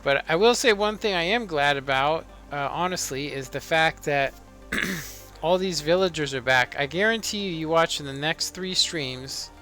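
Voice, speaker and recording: A man in his thirties, a live streamer chatting casually and rambling with lots of fillers in a monotone way. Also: fairly high pitch. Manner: relaxed, rambling, many fillers. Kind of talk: casual live-stream chat while playing. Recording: faint background music.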